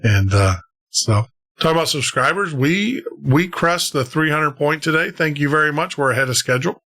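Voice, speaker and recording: A man's voice: fairly high pitch, animated, moderate pace, pushed and bright. Fields: Sex: man. Role: late-night podcast host. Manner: rambling, upbeat plugs. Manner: rambling and upbeat, repeating words and restarting phrases. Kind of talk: casual on-air sign-off with announcements.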